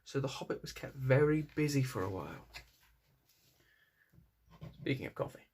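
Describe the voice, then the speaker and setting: A man's voice: medium pitch, lively intonation, normal speed, darkish tone, slightly rough. A man reading a children's fantasy story aloud as narrator.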